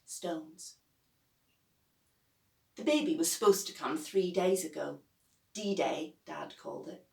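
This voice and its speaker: Slow-reading woman in an echoey room. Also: sits low, vocal fry. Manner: measured, deliberate phrasing. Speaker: author reading own novel. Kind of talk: expressive children's story narration. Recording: echoey room, distant from mic.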